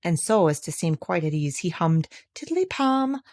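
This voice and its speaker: A woman narrating a children's storybook in an expressive read-aloud style. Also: low voice, moderate pace. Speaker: storybook reader.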